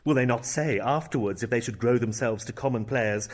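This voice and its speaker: Male actor as young prince, brooding, mocking, feigned madness, theatrical Shakespearean drama, medium pitch, hushed to exclaiming. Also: brisk, natural voice. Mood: curious, wry.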